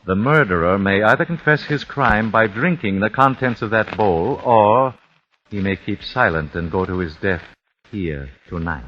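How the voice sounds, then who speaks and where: A man's voice: on the low side, fairly bright tone, close to an everyday voice. A man playing a cold, vengeful host. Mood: cold, menacing. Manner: measured, formal, icily polite. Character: grim vengeful father, cold and formal. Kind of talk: 1940s radio acting. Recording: dull lo-fi old radio recording with faint hiss.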